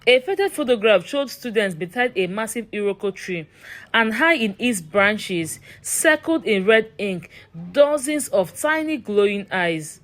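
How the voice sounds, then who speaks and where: A woman's voice: mid-range voice, lively intonation, a little slow, a bit bright. A woman narrating a scripted horror story in a dramatic, suspenseful manner.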